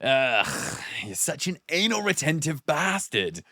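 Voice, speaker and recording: A man as mocking best buddy, pitch swooping up. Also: wildly swooping pitch, takes it slowly, pushed and bright, no echo, studio quality, full character voice. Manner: exaggerated, sarcastic delivery. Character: mocking, sarcastic young man, slacker's bantering best friend. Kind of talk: overacted comedy script read.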